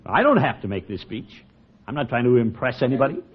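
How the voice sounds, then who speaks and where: An actor playing a pompous, blustering middle-aged uncle in a 1940s radio comedy, theatrical and easily flustered. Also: male voice, medium pitch, big pitch swings, brisk, slightly rough. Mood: sulky, defensive. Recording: muffled 1940s radio recording.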